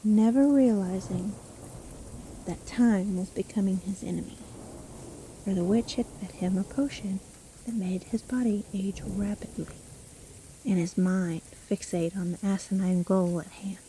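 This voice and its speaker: Woman playing a slow, breathy, dark-voiced witch. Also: mid-range voice, fairly even intonation, a little hiss or hum, close to an everyday voice, poor audio. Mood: ominous, hushed. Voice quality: soft, breathy. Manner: slow, theatrical, teasing, condescending. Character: sly, gloating witch storyteller toying with her trapped victim. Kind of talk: dramatic fantasy audio roleplay storytelling.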